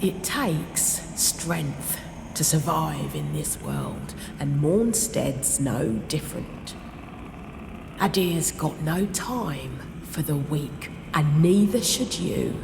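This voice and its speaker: Low, gravelly zealot. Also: female voice, expressive, slow pace, reverberant, in a large hall, background noise, full character voice. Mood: hard, recruiting. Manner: contemptuous, fervent, preaching. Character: hard, zealous woman devotee of a dark god. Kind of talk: dramatic video game acting.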